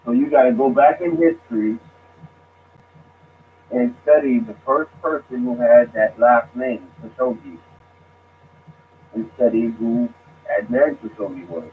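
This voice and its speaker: A man speaking casually as a guest, halting and restarting his sentences. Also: mid-range voice. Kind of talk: casual discussion. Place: lives abroad. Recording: tinny phone line with faint noise.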